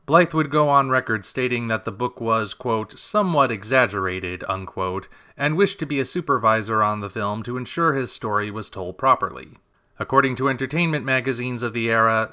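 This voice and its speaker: A man reading scripted documentary-style narration in a measured, subdued, serious way. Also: medium pitch, sharp tone.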